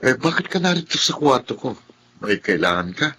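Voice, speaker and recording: Gentle young Filipino brother. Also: male voice, mid-range voice, sing-song, a little fast, slight background noise, low-quality recording. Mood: curious, friendly. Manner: gently questioning. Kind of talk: Filipino radio drama acting.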